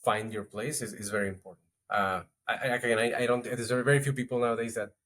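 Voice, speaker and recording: A man's voice: mid-range voice, steady tone, quick pace, a bit bright. A man speaking as a podcast guest in a casual interview, reflective, with frequent restarts and filler words. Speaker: podcast guest, strategy executive.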